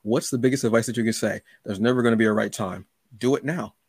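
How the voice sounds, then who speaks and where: A man's voice: mid-range voice, steady tone, quick pace, darkish tone, breathy edge, no room sound, studio quality. A man hosting a podcast in a casual chat, speaking emphatically and acting out little quoted remarks. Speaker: podcast host.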